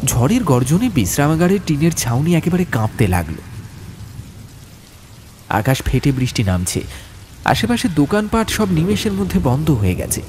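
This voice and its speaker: A man narrating a horror audiobook as a storyteller, with suspenseful, atmospheric delivery and deliberate phrasing. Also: on the high side, sing-song, a little mellow, a little hiss or hum.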